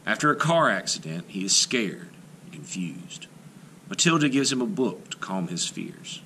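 A man reading a children's picture book aloud expressively, with dramatic phrasing and deliberate pauses. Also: lowish voice, big pitch swings, pushed and bright, a little hiss or hum, poor audio.

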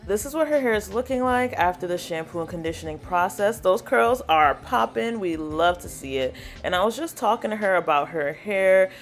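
A woman's voice: medium pitch, lively intonation, moderate pace, hardly any pauses, faint music behind. A woman hairstylist narrating her salon vlog in a casual, chatty way, walking viewers through her steps. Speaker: natural hairstylist.

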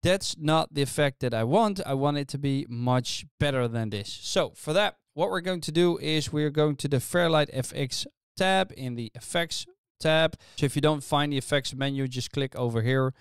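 A middle-aged man casually explaining software step by step as a tutorial host, speaking close into a studio microphone. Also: a little high, normal speed, few pauses, clear, resonant tone, no echo. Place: small indoor studio, dry. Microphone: close studio microphone on a stand.

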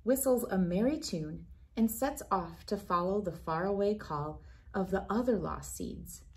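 Woman, thirties, children's read-aloud host, expressive storybook delivery, mid-range voice. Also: medium pitch, unhurried. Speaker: host reading a picture book aloud.

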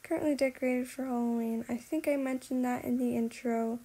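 A woman's voice: high-pitched, steady tone, fairly quick, dark tone, husky. A young woman giving a casual, chatty voice-over tour of a video game house, giggly and apologetic in manner.

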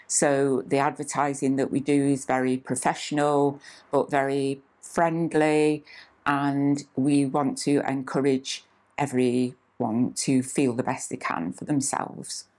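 Very low-voiced woman in her sixties. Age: 60s. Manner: calm, measured, with frequent "uh" fillers. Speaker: clinic manager. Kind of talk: scripted-feel promotional interview talk. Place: small indoor clinic room.

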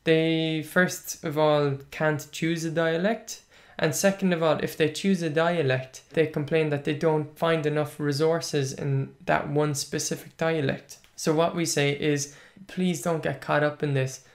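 Irish male podcast host, Clare accent, first language Irish, chatty and relaxed, laughs easily. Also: a little high, unhurried. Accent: Irish, County Clare. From Ireland. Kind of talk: casual solo podcast, reading out comments.

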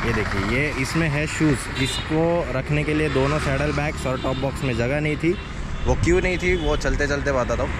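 A man in his twenties narrating a casual travel vlog while riding a motorcycle on the highway, chatty and mixing in English phrases. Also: normal speed. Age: 20s. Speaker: motovlogger. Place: outdoors on a motorcycle on highways and town roads. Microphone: helmet or action camera mic while riding. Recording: loud wind and road noise.

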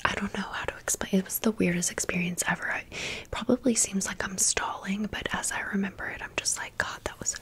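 A woman doing a chatty, rambling ASMR storytime in a breathy whisper with some creak and a wry manner. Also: on the low side. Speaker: ASMR host.

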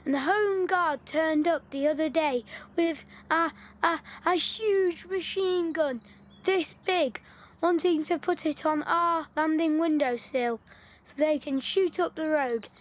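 A woman playing a lively, knowing wartime schoolboy in an audio drama. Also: high voice, takes it slowly. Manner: chatty, excitable storytelling.

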